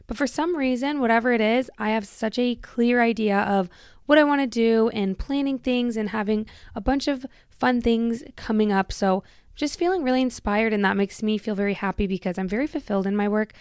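A woman's voice: a little high, brisk, darkish tone, clean tone, dry recording, clean studio sound. A woman hosting her own solo podcast, talking candidly and casually with lots of "like" and self-interruptions, a little tired as she recovers from illness. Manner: chatty and candid, heavy use of "like", self-interrupting. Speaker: podcast host.

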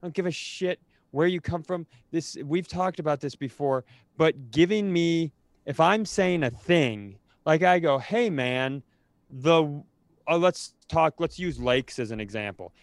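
A man's voice: a little high, unhurried, no echo. A man co-hosting a podcast, ranting casually in an exasperated, sweary, rambling way with frequent restarts. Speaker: podcast co-host.

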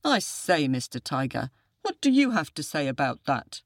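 Woman playing a pompous, world-weary storybook elephant, lively pitch. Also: moderate pace, no room sound, clean studio sound, full character voice. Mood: pompous, resigned. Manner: trumpeting marching chant, hesitant formal address.

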